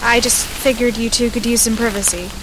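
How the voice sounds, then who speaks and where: A woman's voice: a little high, steady tone, brisk, noisy background, close to an everyday voice. An actress playing a guarded, awkward young woman in an audio drama, casual, understated and evasive. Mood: defensive.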